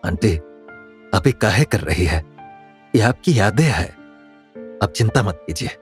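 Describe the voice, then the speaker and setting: Guy doing earnest young man in audio drama, lowish voice, colloquial, calming, respectful. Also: measured pace, faint music behind. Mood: reassuring. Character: earnest, reassuring young man of humble background. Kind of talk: Hindi audio drama acting.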